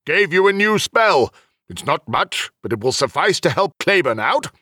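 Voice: mid-range voice, sing-song, normal speed, bright, forceful tone, a little hiss or hum, pitched above the performer's own voice. A narrator voicing a stern, officious male celestial official with a formal, admonishing manner, in a fantasy audiobook. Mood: kindly.

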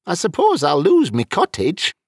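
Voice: mid-range voice, wildly swooping pitch, moderate pace, slightly rough, no echo, studio quality, pitched above the performer's own voice, heavily acted. A narrator voicing an elderly male wizard, sheepish and quibbling, with folksy dialect phrasing, in a fantasy audiobook. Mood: glum, hopeful.

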